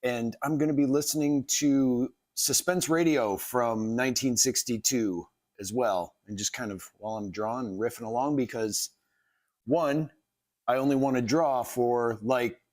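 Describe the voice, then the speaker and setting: Casual wisecracking male livestreamer, mid-pitched. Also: measured pace, a little mellow. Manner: wisecracking, mock-dramatic asides. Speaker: livestreaming cartoonist and host. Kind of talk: casual riffing commentary over old radio.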